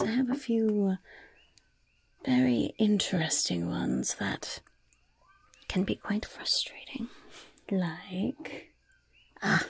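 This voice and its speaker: A woman voicing a mischievous goblin shopkeeper in a whispered ASMR roleplay, with sly, gleefully polite sales patter in a breathy, gravelly voice. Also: medium pitch. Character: mischievous goblin shopkeeper selling poisons and cursed items.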